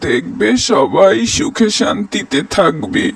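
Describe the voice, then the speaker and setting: A high-pitched, creaky village man from a cartoon, acted out by a man. He talks in a declamatory, unhurried manner, as if addressing the whole village. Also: animated, a bit bright, full character voice. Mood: calm, admonishing. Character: village men: angry villagers, calming council member, friendly snack vendor. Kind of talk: cartoon voice acting.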